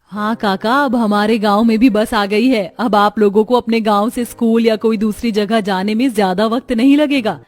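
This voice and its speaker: A woman's voice: fairly high pitch, fairly even intonation, normal speed, hardly any pauses, natural voice. A woman playing a kind, educated young village daughter-in-law in a cartoon, speaking patiently and encouragingly. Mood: upbeat.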